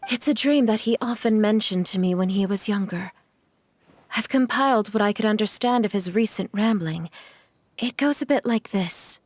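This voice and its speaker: A woman playing a gentle, sorrowful mother in a video game, speaking in an earnest, measured way. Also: medium pitch, close to an everyday voice. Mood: wistful. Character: sorrowful, gentle mother devoted to her ailing son.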